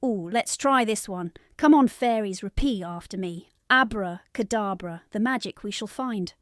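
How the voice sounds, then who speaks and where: A woman's voice: mid-range voice, moderate pace, no echo, clean studio sound, close to an everyday voice. A woman voicing a spirited young female fairy leader in a bedtime storybook, exclaiming and commanding. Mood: determined.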